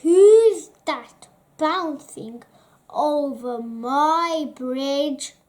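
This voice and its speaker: A fat, warty storybook troll, put on by a woman reading aloud, menacing and greedy. The words come very slowly, with a bellowing, roaring delivery meant for a children's tale. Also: sits high, animated, darkish tone, heavily acted.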